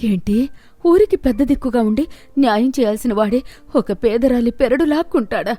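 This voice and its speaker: A woman's voice: fairly high pitch, animated, moderate pace, dark tone, dry recording. A woman voicing a poor, caring, outspoken village vegetable seller in a storybook tale, plaintive and homely. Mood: indignant. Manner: plaintive, emphatic, homely scolding.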